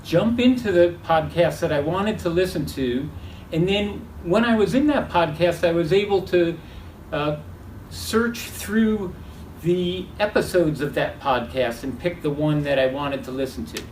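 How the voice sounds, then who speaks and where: A man's voice: a little high, a little slow, a little mellow. A man giving a spoken product demonstration as a presenter. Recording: roomy, distant mic, faint noise.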